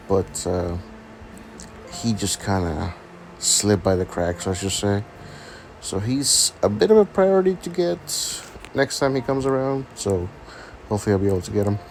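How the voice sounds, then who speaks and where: A man with a raspy voice from being sick, hesitantly walking through his game account in a casual screen-recording chat. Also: mid-range voice, darkish tone, a little hiss or hum. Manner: hesitant, many ums and restarts. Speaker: gaming channel host.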